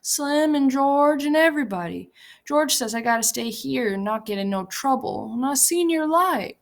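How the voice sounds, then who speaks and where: A woman's voice: sits high, lively intonation, normal speed, creaky, voice pushed up. A woman voicing a big, simple-minded, childlike male ranch hand who talks in an eager, pleading, repetitive way.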